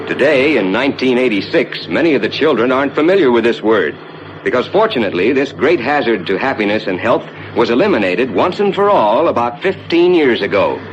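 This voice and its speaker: Man acting as assured 1950s rocket captain, animated intonation. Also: close to an everyday voice. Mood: calm, reassuring. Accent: American. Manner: measured, explanatory, reassuring. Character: assured male rocket ship captain guiding passengers through space. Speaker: captain and tour narrator. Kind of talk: 1950s scripted theme park ride narration. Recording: dull 1950s soundtrack with loud rumbling noise.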